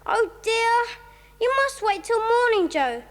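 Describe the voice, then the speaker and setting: A woman's voice: very high-pitched, animated, slow pace, heavily acted. A woman playing a polite, capable English schoolboy who takes charge in a children's audio drama. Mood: urgent, concerned. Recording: long reverberant hall sound.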